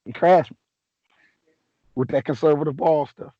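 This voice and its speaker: A man hosting a casual sports chat, a little raspy from a sore throat, talking in a laid-back, joking way with many restarts. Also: a little high, moderate pace, no room sound, lo-fi sound. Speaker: podcast host.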